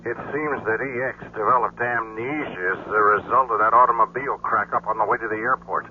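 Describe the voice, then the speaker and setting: A man's voice: lowish voice, a little fast, natural voice. A man playing an efficient secret agent in a 1970s radio drama, speaking in a clipped, businesslike manner. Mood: cool, concerned. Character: cool, efficient secret agent reporting to a superior. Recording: muffled vintage radio, faint hum.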